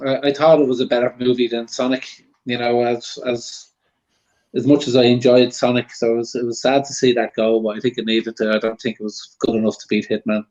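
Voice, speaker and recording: A man's voice: mid-range voice, flat delivery, a little fast, darkish tone, highs cut off. A man chatting casually as a panel guest, giving his opinions on films. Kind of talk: casual film debate.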